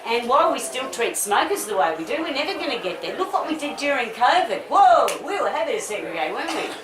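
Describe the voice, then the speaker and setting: Middle-aged Australian woman, blunt and chatty festival speaker, slightly high voice, heard from afar in a roomy space. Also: big pitch swings, fast. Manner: chatty, rambling, blunt, with swearing and laughs. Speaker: medical cannabis advocate giving a festival talk. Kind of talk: informal public talk with audience questions. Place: festival talk in front of an audience. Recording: echoey, distant mic, audience crowd audible.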